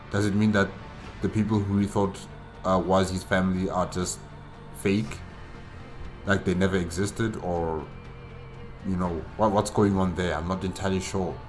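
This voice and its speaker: A man hosting a solo reaction video, talking casually and haltingly, repeating himself for emphasis. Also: low voice. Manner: halting, repetitive, emphatic about doubts. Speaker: reaction channel host.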